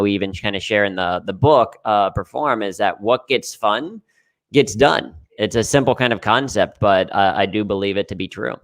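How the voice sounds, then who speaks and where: A man with a lowish, smooth and resonant voice, speaking over a video call. He tells his stories playfully and leans hard on the words he wants to stress. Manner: animated storyteller, playful and emphatic. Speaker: panelist.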